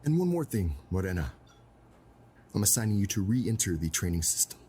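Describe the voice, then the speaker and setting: A middle-aged man acting as a cold, domineering crime boss and stern father, speaking formally and deliberately with menace in a dramatic scene. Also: on the low side, sing-song, mellow. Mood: stern, menacing. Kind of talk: dramatic film-style acting. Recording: clearly noisy background ambience.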